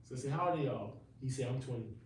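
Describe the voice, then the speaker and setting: A man's voice: mid-range voice, fast talker, darkish tone. A man in his thirties, a gospel rapper talking casually in a livestream interview, rambling with slang and many restarts. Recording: echoey room, distant mic, faint noise.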